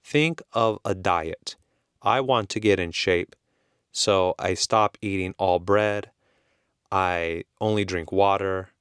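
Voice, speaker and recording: A man with a Californian accent, explaining things slowly and in plain words as an English teacher, repeating his key points. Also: deep voice, fairly bright tone, clean tone, no room sound, clean studio sound. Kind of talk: slow, simple explanation for learners.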